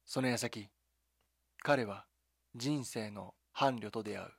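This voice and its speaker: A man delivering scripted dramatic documentary narration in a measured, solemn storytelling manner, with short deliberate phrases. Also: fairly low pitch, vocal fry, husky, dry recording, clean studio sound. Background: background music.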